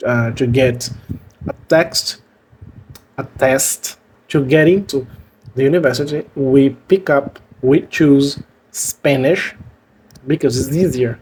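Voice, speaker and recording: Brazilian guy, portuguese accent, talks real slow with lots of uhs, bit of background hiss. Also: mid-range voice, darkish tone, poor audio. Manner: hesitant, many fillers and restarts. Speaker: language vlogger. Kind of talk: casual talk to camera.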